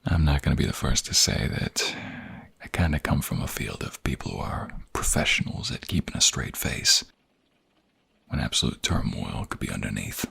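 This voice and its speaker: A man playing a tender, protective young mobster in an intimate ASMR romance roleplay, speaking softly and haltingly in a husky, gravelly, breathy voice. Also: extremely low pitch, big pitch swings, full character voice. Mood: gentle, concerned. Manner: soft, halting, gentle, intimate.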